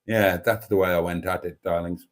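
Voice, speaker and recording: A man's voice: low voice, fairly even intonation, normal speed. A man hosting a casual livestream, reading out viewer comments in a chatty, cheeky way and calling his audience darlings. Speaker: livestream host. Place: indoors at home, chilly room.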